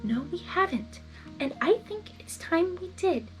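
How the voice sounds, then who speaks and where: A woman voicing a gentle young female pony in a fanfiction story, soft, earnest and pleading but determined. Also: very high-pitched, sing-song, a little slow, soft background music, pitched above the performer's own voice, exaggerated voice, low-quality recording. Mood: hurt, firm.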